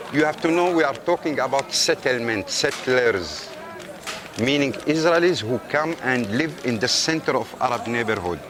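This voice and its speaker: A man with an Arabic accent, a tour guide who speaks Arabic natively, walking visitors through a busy outdoor market and insistently pointing things out. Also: a little high. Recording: loud street crowd behind.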